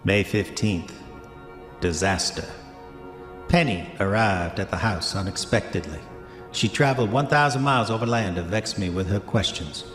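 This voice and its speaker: Deep-voiced, roguish old-time gold prospector played by a man. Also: a bit bright, natural voice. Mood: smug, irritated. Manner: formal old-fashioned diction, deliberate, self-justifying. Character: roguish, haunted gold prospector and womanizer, reading his old diary. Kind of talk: dramatic first-person diary monologue in a horror audio drama. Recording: echoey hall reverb, music underneath.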